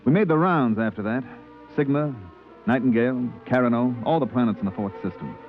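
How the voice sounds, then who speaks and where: Male actor as relaxed space-freighter purser, conversational 1950s radio drama, narrow tinny band, music underneath. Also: mid-range voice, fairly quick, natural voice. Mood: reflective narrating. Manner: colloquial, hesitant in dialogue. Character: easygoing spaceship purser recounting his voyages. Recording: tinny vintage radio broadcast, music behind.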